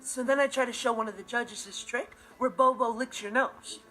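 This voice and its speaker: Teenage sitcom boy, American accent, very high voice, earnest, gullible, excitable, emphatic storytelling, clear music behind. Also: moderate pace, rough recording. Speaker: sitcom actor. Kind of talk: comedic monologue to the audience.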